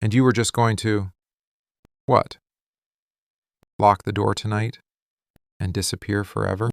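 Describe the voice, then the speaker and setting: Male actor as possessive mafia boss, audio roleplay. Very unhurried, gravel-edged, deliberate interrogator with a wounded streak. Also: lowish voice, flat delivery, no room sound, clean studio sound. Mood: hurt, accusing. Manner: deliberate, clipped, interrogating delivery. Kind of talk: boyfriend ASMR roleplay acting.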